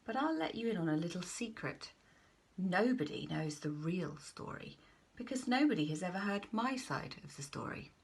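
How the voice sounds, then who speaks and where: A woman's voice: fairly low pitch, sing-song, normal speed, creaky, slight background noise. A woman reading a children's storybook as a folksy, aggrieved male wolf, confiding with exaggerated emphasis and protesting his innocence. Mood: confiding, defensive. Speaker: storybook reader.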